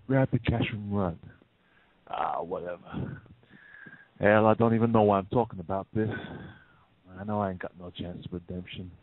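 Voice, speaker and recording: A man acting as a washed-up noir crook with a very low voice. He talks in rough streetwise phrases, world-weary and bitter. The sound is thin and tinny, like a phone line. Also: lightly acted. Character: bitter, down-and-out small-time crook drinking at a bar. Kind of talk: noir stage-play acting.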